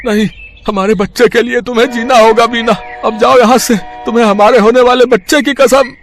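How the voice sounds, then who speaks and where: An actor playing a young husband caught on the rail tracks, speaking in a strained, pleading way in a cartoon story. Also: male voice, very high voice, steady tone, brisk, faint music behind. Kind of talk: Hindi cartoon voice acting.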